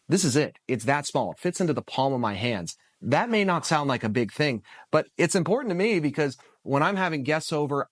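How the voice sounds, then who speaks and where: A man in his thirties talks through a product review with friendly, upbeat energy. His voice is husky from a cold, and it sits at an ordinary middle pitch. The sound is close and dry, with no room echo. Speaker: stay-at-home dad reviewing a toy. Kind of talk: casual product review to camera.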